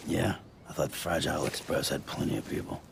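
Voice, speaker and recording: Game role: gruff solitary deliveryman, deep fry-laden voice, terse delivery, noisy backdrop. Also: fairly even intonation, fairly quick, full character voice. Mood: guarded, dismissive. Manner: terse, reluctant. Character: gruff, solitary, weary male freelance deliveryman. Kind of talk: video game cutscene acting. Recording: clear background noise.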